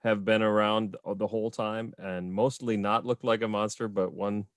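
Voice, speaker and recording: Chatty male panelist, low voice, dry audio. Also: fairly even intonation, normal speed, no echo. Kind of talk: casual panel discussion.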